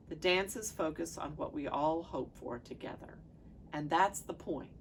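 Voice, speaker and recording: A woman with a notably low, gravelly voice reads aloud as a pastor sharing a devotional text. Her phrasing is measured and deliberate, and there is some background noise in the recording. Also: rough recording. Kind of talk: reading aloud from a devotional book.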